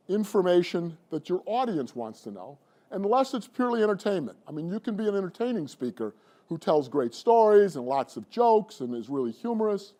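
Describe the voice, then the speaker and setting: A middle-aged man coaching public speaking, talking to a class in an animated, conversational way. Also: high-pitched. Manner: animated and conversational, addressing the room. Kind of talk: presentation to a class.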